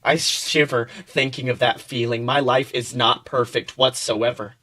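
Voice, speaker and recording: A man's voice: medium pitch, fairly even intonation, a little slow, bright, forceful tone. A man voicing a gloomy, grumpy ogre who tells his sorrows in a melodramatic, dismissive way. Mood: mournful.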